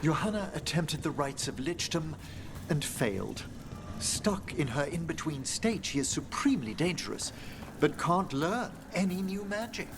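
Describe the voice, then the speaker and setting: An actor playing a gentle, wistful, scholarly older necromancer in a fantasy video game, with formal, measured, eloquent phrasing. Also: male voice, on the high side, very animated, background noise. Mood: grave. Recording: game music playing behind.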